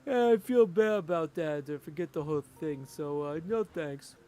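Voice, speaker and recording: A man voicing a hesitant, apologetic game character who talks in an awkward, halting, polite way. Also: fairly high pitch, mellow, slight background noise, lightly acted.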